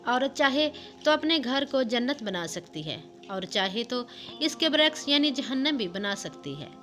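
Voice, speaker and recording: A wise, contented old wife as a woman narrator reads her explains herself calmly in a moral storybook tale. The voice is fairly high and bright, assured and didactic. Light music runs beneath it. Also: unhurried, full character voice. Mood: calm advising. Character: elderly contented wife, wise, patient, advising. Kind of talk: storybook narration of interview answers. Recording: music under the voice.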